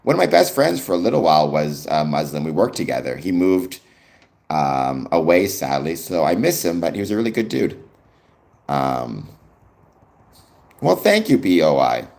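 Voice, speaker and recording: A man's voice: a bass voice, very animated, normal speed, gravelly, darkish tone. A young Canadian man with a Canadian accent hosts his live stream in easygoing chat, answering viewers' comments with frequent ums. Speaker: livestream host.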